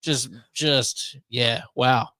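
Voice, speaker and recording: A man's voice: mid-range voice, steady tone, drawn-out words, sharp tone, no echo. Male radio host, conversational and easygoing with a wry touch, mid-range voice, very little room. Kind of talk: casual talk radio chat.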